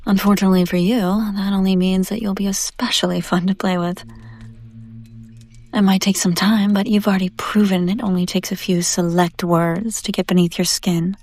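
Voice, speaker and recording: A woman playing a seductive, domineering demon in an intimate audio roleplay, teasing and condescending, often slipping into breathy whispers. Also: mid-range voice, flat delivery, normal speed, darkish tone, no echo, natural voice. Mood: smug, coaxing. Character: seductive, domineering female demon buyer taunting a captive angel. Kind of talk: intimate scripted audio roleplay addressed to the listener.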